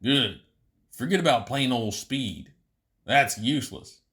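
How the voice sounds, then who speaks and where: A man voicing a gruff, stubborn veteran alien pilot who coaches in short blunt questions in an audiobook story. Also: medium pitch, lively intonation, slow pace, a bit bright. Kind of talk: audiobook character voice.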